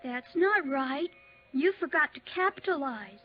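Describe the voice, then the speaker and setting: High-voiced little girl, old radio. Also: expressive, unhurried, faint music behind. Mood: earnest, chatty. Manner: childlike, artless, forthright. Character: chatty, earnest little girl. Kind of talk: 1950s radio drama acting. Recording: muffled, noisy 1950s radio recording.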